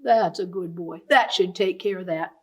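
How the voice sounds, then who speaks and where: A woman's voice: fairly low pitch, moderate pace, pitched below the performer's own voice, close to an everyday voice. A woman doing a folksy, kindly vet. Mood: reassuring. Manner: cheerful, folksy and reassuring. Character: kindly, folksy male veterinarian.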